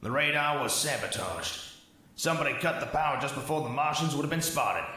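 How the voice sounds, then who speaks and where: An actor playing a gruff, treacherous army sergeant in a pulp radio drama, with a raspy voice and clipped military manner. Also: male voice, on the high side, animated, a little fast, sharp tone, exaggerated voice. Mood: clipped, guarded. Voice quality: raspy, creaky.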